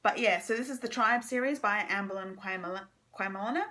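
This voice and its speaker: A young woman giving a casual, halting book review to camera, informally trailing off and circling back. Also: medium pitch, sharp tone. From Australia.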